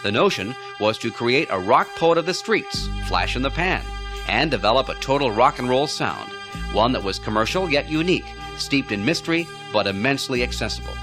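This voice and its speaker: A man's voice: mid-range voice, animated, fairly quick. A man reading scripted narration for a radio documentary about music, as its presenter.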